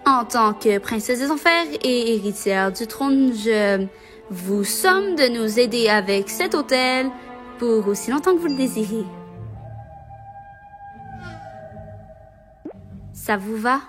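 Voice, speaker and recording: A woman's voice: fairly high pitch, lively intonation, measured pace, fairly bright tone, soft background music. A woman voicing an optimistic, determined young demon princess, earnest and assertive, in an amateur cartoon fan dub. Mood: formal, firm.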